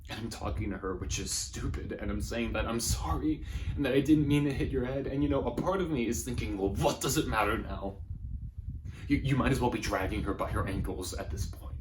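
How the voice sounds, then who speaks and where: Man playing shattered grieving father, halting, quiet, bitter, naturalistic stage monologue, clearly noisy recording. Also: lightly acted. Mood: numb, regretful. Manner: halting, subdued, low-key naturalistic delivery. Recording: noisy amateur room recording.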